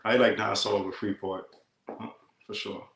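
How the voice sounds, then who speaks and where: A man talks casually as a guest, in an easygoing, informal way. His voice sits a bit low and has a strong creak. The room adds clear echo. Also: flat delivery, moderate pace, fairly bright tone. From Bahamas. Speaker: podcast guest. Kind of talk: casual chat. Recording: roomy, echoing stream audio.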